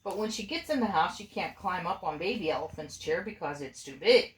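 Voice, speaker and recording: A mother reads a storybook aloud in a playful, informal way. Her voice is very animated and moves freely up and down, recorded in an echoey room. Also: fairly low pitch, brisk. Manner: playful, expressive, breaks off for asides. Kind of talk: informal storybook reading to family.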